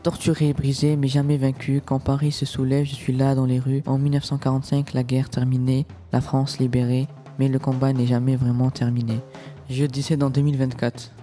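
A man's voice: medium pitch, brisk, soft background music, lightly acted. Young man voicing a defiant young resistance heroine. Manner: rhythmic, steady recitation. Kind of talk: first-person spoken-word poem.

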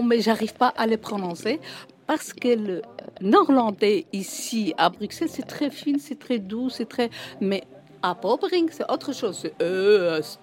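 A woman's voice: medium pitch, a little mellow. A woman from West Flanders whose first language is Dutch, speaking French in an earnest, slightly hesitant way. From Poperinge area, West Flanders. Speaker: interviewee. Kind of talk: casual personal account.

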